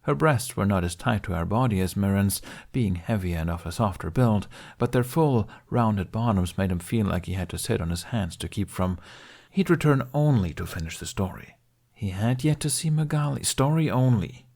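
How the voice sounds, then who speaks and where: A man's voice: on the low side, expressive, moderate pace, gravelly, a little mellow. A man narrating a scripted story as an audiobook reader.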